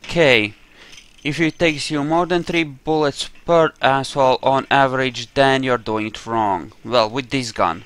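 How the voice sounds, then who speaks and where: A man with a clear, smooth voice commentates on a game he is playing. He speaks at an unhurried pace, thinking aloud as he goes. His manner is offhand and peppered with casual swearing. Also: medium pitch, fairly bright tone. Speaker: gamer narrating his own playthrough.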